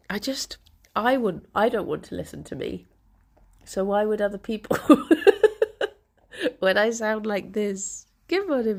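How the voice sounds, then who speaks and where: A young woman streamer with a congested, bunged-up voice, chatting softly with viewers and laughing often. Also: mid-range voice, gravelly. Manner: soft, chatty, laughs often, greets chat by name. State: congested, stuffy nose. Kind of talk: casual morning chat with viewers.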